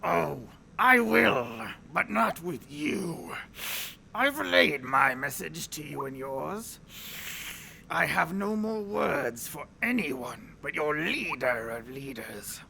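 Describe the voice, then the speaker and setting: An actor playing a smug, gloating captured enemy officer with a pompous, mocking delivery in an audio drama. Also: male voice, on the high side, big pitch swings, slow, gravelly, pushed and bright, slight background noise, full character voice.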